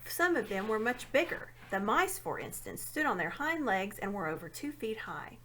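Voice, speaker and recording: Lively woman reading a storybook aloud. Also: mid-range voice, moderate pace, slight background noise. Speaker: storybook reader. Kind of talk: expressive read-aloud narration of a children's fantasy novel.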